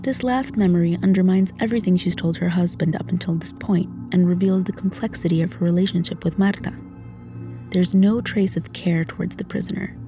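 A woman's voice: on the low side, steady tone, brisk, music in the background. A woman reading scripted documentary narration for a video essay as its narrator.

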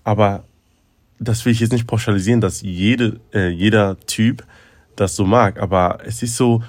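A man's voice: on the low side, steady tone, moderate pace, no room sound. A young man co-hosting a podcast, talking casually and hesitantly with lots of fillers. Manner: hesitant, many fillers, laughs loudly. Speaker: podcast co-host. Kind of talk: casual chat.

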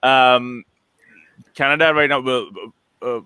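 A man in his late thirties from Sri Lanka, a guest chatting casually on a video call, with a relaxed, rambling manner, restarting and chuckling often. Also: mid-range voice, takes it slowly, clear, resonant tone, no room sound, poor audio. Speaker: interview guest, entrepreneur. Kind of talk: casual conversational interview.

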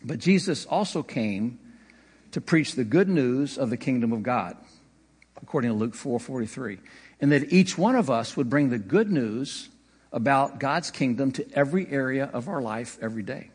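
A man's voice: medium pitch, animated, moderate pace, a little mellow, husky. A man preaching a sermon as pastor, conversational, with occasional slips and light laughter. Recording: long hall reverb.

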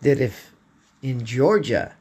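A man with a hoarse voice, hosting a political commentary podcast in a slow, halting way, often clearing his throat. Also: mid-range voice, very animated, darkish tone. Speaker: podcast host.

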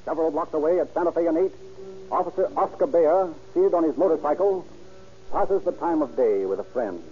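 A man's voice: fairly high pitch, lively intonation, brisk, soft background music. Urgent old-radio crime narrator, man. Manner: urgent, dramatic. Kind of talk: 1930s radio drama narration. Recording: muffled old radio broadcast with loud hiss.

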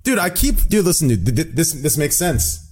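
A man in his late twenties hosting a casual group podcast chat, excitable and saying dude a lot. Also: a little high, normal speed, husky, soft background music. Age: 20s. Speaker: podcast host.

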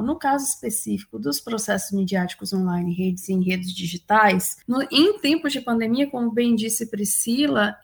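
Woman, Brazilian accent, creaky edge, slightly high pitch, formal articulate phrasing, academic interview guest. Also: fairly bright tone. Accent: Brazilian Portuguese. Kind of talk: considered interview answer.

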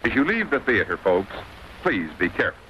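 A low-voiced man delivers an old drive-in announcement with lively intonation. The recording is dulled and slightly noisy. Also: brisk, breathy edge. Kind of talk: vintage drive-in intermission announcement. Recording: dull, lightly noisy vintage archive recording.

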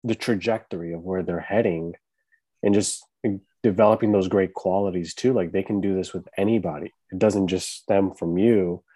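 A man hosting a podcast in casual conversation, with a calm, laid-back, monotone delivery. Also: low voice, normal speed, no echo. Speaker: podcast host.

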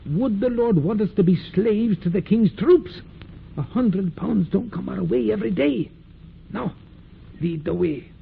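A noisy old radio drama recording carries an aged farmer. His voice is high and creaky, with pious thee-and-thou phrasing and a stern, anxious temper. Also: unhurried, narrow, tinny audio, heavily acted. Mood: defensive. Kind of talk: 1940s radio acting.

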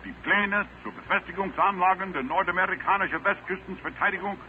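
Actor as high-pitched Gestapo radio agent. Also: male voice, quick pace, natural voice. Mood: urgent, official. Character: German Gestapo agent secretly broadcasting to Berlin. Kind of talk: 1940s radio acting. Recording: noisy, tinny old radio recording.

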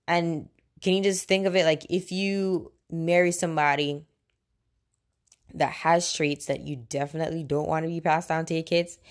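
Woman, late twenties, low voice, podcast host, casual monologue, candid, opinionated, conversational. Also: fairly even intonation, moderate pace. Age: late 20s. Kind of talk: casual personal monologue on faith.

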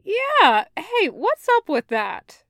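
A woman's voice: very high-pitched, huge pitch swings, slow pace, fairly bright tone, no echo, clean studio sound, voice pushed up, heavily acted. A woman voicing a brash, playful young man with a teasing, slangy, exuberant delivery in an audiobook-style story. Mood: insistent, playful.

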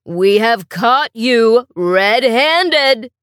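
A woman's voice: fairly high pitch, sing-song, takes it slowly, a bit bright, dry recording, studio quality, heavily acted. A woman voicing a stern but caring male school principal who speaks firmly and reassuringly. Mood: scolding. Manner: firm, reassuring.

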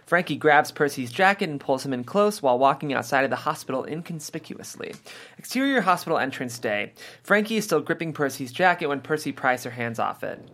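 A man's voice: fairly high pitch, animated, fairly quick, fairly bright tone. A man narrating scene headings and stage directions at a script table read.

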